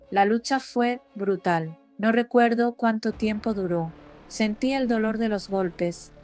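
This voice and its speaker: A woman narrates a scripted horror tale in the first person. Her voice sits at a middling pitch for a woman. Music plays behind her throughout. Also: a little mellow. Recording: voice over background music.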